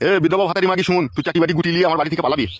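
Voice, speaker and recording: An actor as an exasperated, fast-ranting older father. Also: a little high, fairly even intonation, lo-fi sound, heavy background noise, natural voice. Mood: outraged. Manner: crude, lamenting, indignant. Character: exasperated older father-in-law, scolding and self-pitying. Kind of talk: comic cartoon dialogue.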